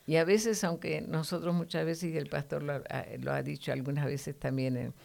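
Low, very creaky-voiced woman. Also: steady tone, moderate pace, a little mellow. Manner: didactic, with short tag questions seeking agreement. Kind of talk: devotional teaching in conversation.

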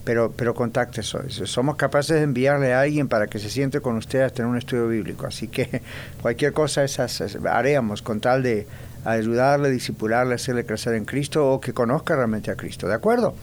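A man, a pastor hosting a church radio talk show, giving announcements in an inviting way and repeating details slowly. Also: medium pitch, slight background noise.

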